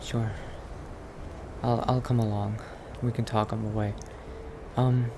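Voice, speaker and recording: A man's voice: fairly low pitch, flat delivery, takes it slowly, noisy background. A man roleplaying in character through a video game, thinking aloud in a hesitant murmur. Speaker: player roleplaying a vampire Dark Elf character.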